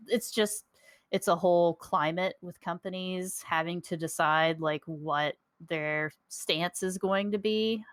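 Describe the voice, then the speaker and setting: Woman, co-host, low-ish voice, casual gaming chat, wry and frustrated, dry close sound. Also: fairly bright tone, clear, resonant tone, no room sound. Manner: frustrated, wry, laughs while talking. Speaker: podcast co-host.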